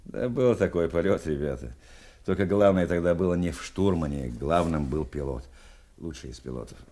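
Actor doing older war vet navigator, low male voice, hearty and wry, kinda teacherly, radio play. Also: normal speed, mellow, close to an everyday voice. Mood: solemn. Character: ageing male war veteran navigator turned teacher, genial, exacting. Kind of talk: audio drama acting.

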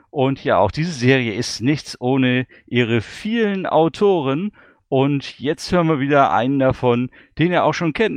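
A man's voice: mid-range voice, no echo. A man hosting a casual radio interview. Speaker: radio show host interviewing a guest.

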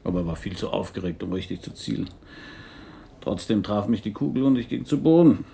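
Wry, unruffled male witness, radio crime play: low-leaning, dark timbre, unhurried. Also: expressive, vocal fry, a little hiss or hum. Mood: reflective, nonchalant. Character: wry, nonchalant man, a slightly wounded shooting victim. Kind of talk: radio crime drama acting.

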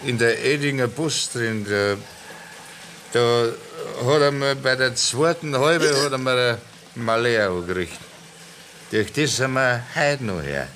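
A man's voice: medium pitch, a little slow, fairly bright tone, slight background noise. An actor playing a tipsy, talkative man with a Bavarian accent, rambling and stammering in a 1960s radio play.

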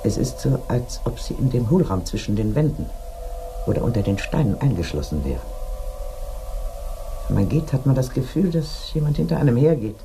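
A haunted man delivering a radio drama monologue. His mid-pitched voice is brooding and uneasy. Clear atmospheric noise surrounds it. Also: big pitch swings, normal speed. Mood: eerie, uneasy. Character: haunted male narrator describing an eerie village.

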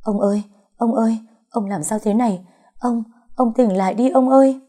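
A woman's voice: sits low, normal speed, mellow. A woman voicing a defiant, long-suffering young orphan who speaks bluntly and stands her ground in an audio story. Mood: panicked.

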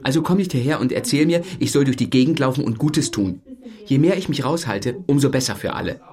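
An actor playing a reserved radio host in his thirties, hesitant and understated, with a husky voice, in a naturalistic radio drama. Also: mid-range voice, expressive, a little fast, darkish tone, lightly acted. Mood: defensive.

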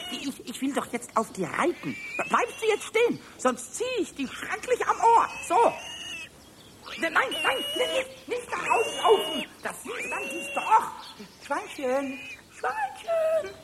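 An actor playing a cheeky, quick-tempered little male goblin in a 1970s radio play, with a squeaky, shrill voice, bossy and squealing. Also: big pitch swings, noisy background, heavily acted.

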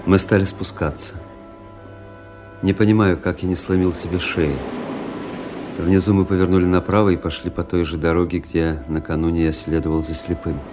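An actor playing a world-weary officer who narrates a literary radio play in a measured, brooding, dramatic way. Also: male voice, low voice, flat delivery, natural voice. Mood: tense, reflective. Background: music.

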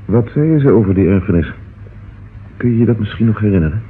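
An actor playing a courteous police inspector in a Dutch radio drama, asking calm, probing questions. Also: male voice, lowish voice, fast talker, natural voice. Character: police inspector, courteous, tender with his sweetheart. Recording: muffled, noisy old radio recording.

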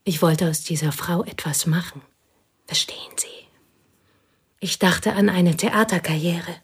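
An actress playing a plain, devoted woman who confesses intimately to a pianist in a radio play, her voice husky and often whispered. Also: fairly low pitch, measured pace, full character voice. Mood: intense. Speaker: confiding companion.

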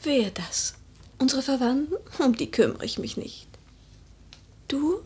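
An actress playing a proud, grieving young widow of noble birth in a literary radio drama, with a breathy, solemn delivery that often drops toward a whisper. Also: female voice, high voice, animated, measured pace, darkish tone, heavily acted. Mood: proud, cool.

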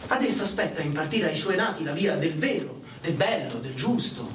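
A man's voice: on the high side, big pitch swings, normal speed. A man performing a literary monologue in an earnest, declamatory theatrical manner. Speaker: performer reciting. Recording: echoey, distant, faintly noisy pickup.

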